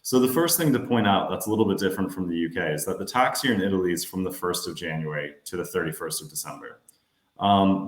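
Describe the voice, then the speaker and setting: An American man in his thirties, a financial adviser giving a webinar presentation in a steady, explanatory way with some fillers. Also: deep voice, roomy sound. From United States.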